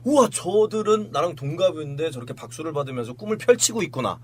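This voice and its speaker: Man in his forties from Gangwon, Gangwon accent, comedian guest telling lively stories, high-pitched and swooping, laughs a lot. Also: quick pace, slight background noise. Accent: Gangwon Korean. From Gangwon Province, Korea. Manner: animated, laughs often, acts out quoted lines. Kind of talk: lively storytelling on a talk show.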